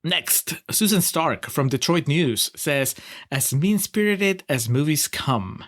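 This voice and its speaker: A man's voice: fairly high pitch, lively intonation, slow pace, fairly bright tone. Laughing man with a Peruvian accent. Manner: wry, laughs often, sarcastic asides. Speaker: podcast co-host. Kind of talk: casual film review chat.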